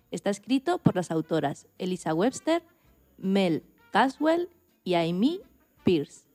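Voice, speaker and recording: A woman's voice: mid-range voice, dark tone. A woman presenting on a radio program, reading a scripted recommendation.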